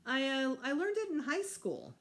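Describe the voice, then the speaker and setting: A woman's voice: sits high, animated, moderate pace, pitched above the performer's own voice. A woman voicing a mild, evasive father with a hesitant, placating manner in a children's storybook.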